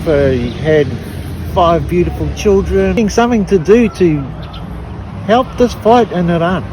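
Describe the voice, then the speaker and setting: A middle-aged woman with a New Zealand accent, giving an emotional personal testimony in an earnest, halting way with frequent restarts. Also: deep voice, mellow, a little hiss or hum, rough recording. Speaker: activist interviewee.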